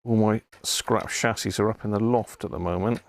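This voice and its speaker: A man's voice: on the low side, fairly even intonation, moderate pace, gravelly, no echo. A man giving casual, unscripted live commentary to himself as he works on a hobby repair stream. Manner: unscripted, muttering to himself while working.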